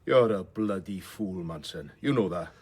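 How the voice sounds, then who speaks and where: An actor playing a gruff, wry, caring doctor in a radio drama, husky and bluntly commanding with his friends. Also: low-pitched, expressive, normal speed. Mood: wry, scolding. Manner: blunt, commanding, dryly teasing. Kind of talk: naturalistic radio drama dialogue.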